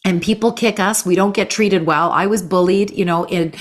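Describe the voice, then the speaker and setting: A woman hosts a conversational spiritual talk show. She speaks at a middling pitch. Her manner is effusive and affirming. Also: fairly even intonation, normal speed. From Canada. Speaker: show host.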